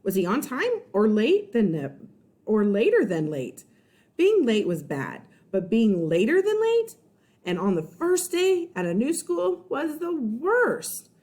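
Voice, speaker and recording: A woman's voice: fairly high pitch, wildly swooping pitch, takes it slowly, darkish tone. A woman reading a children's storybook aloud like a first-grade teacher, expressively, with explanations and check-ins for young listeners.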